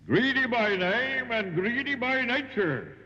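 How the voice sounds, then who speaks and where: A man playing a huge, mocking giant in a children's cartoon, bellowing slowly with a booming voice. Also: huge pitch swings, a bit bright, breathy edge, heavily acted. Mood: mocking, menacing. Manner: slow, bellowing delivery. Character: huge thundering giant, mocking and menacing, laughs loudly. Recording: long cavernous reverb, dull lo-fi audio.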